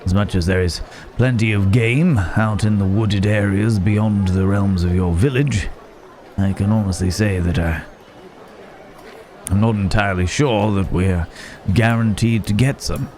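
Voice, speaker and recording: Man acting a low, slow, musing werewolf gentleman. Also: lightly acted. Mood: delighted realization. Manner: hesitant, musing, old-fashioned wordy phrasing. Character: courteous city-bred man secretly a werewolf, thoughtful, wary. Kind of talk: ASMR roleplay acting. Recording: market crowd and outdoor ambience noise. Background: bustling village market ambience.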